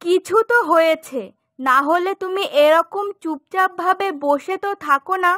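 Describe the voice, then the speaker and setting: A woman's voice: very high-pitched, measured pace, sharp tone, dry recording, studio quality, heavily acted. A woman voicing a young, affectionate and outspoken village daughter in a cartoon moral story, coaxing and pert. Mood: concerned, curious.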